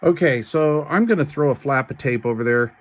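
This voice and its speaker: A man with an average-pitched voice talks through hands-on repair work. He is informal and folksy, thinking aloud as he goes. His delivery is halting and casual, like an unscripted demonstration. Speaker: radio repair technician and video host. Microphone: handheld camera while working.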